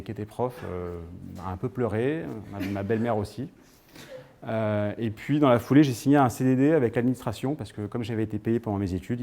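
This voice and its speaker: A man's voice: medium pitch, darkish tone, slight background noise. A man of thirty-nine, giving an informal personal talk to an audience in a hesitant storytelling manner. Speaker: guest speaker.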